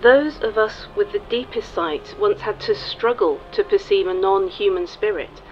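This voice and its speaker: An actress playing a grave, foreboding seer in an audio drama, solemn and formal. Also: female voice, medium pitch, lightly acted. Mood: grave concern. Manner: solemn, measured. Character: grave, foreboding female seer advising a leader. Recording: dull lo-fi audio, faint noise.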